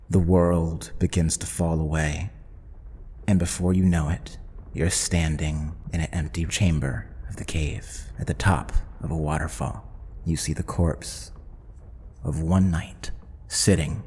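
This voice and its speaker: A man's voice: low voice, warm tone, breathy edge, long reverb tail. A man running a tabletop roleplaying game as game master, narrating in a dramatic, descriptive way.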